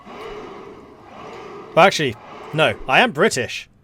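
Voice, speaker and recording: A man's voice: fairly high pitch, big pitch swings, takes it slowly, sharp tone, a little hiss or hum. A Scottish man giving casual let's-play commentary on a strategy game, chatty and wry, with joking asides.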